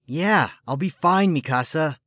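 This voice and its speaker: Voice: medium pitch, lively intonation, a little slow, fairly bright tone, dry recording, studio quality. An actor playing a teenage boy recruit who is subdued but reassuring, in a fan audio drama. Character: downcast teenage boy recruit, putting on cheer.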